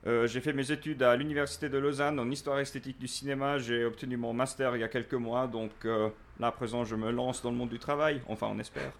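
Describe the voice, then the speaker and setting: Guest in his twenties, Swiss French accent, mid-pitched, measured and hesitant. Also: steady tone. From Finland. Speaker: guest film critic. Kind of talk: casual chat.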